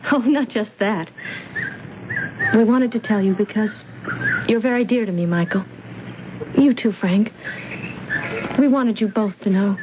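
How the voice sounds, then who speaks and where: An actress playing a tender, earnest young bride-to-be in a radio drama. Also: female voice, mid-range voice, a little slow, pauses often, natural voice. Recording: tinny, noisy old radio broadcast.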